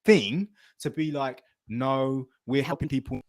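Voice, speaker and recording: A British man speaks as a podcast host in a casual, opinionated style. His voice sits at an average male pitch and is recorded dry and close. He is emphatic and slangy, and he swears freely. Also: a little slow, no echo. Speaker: military veteran.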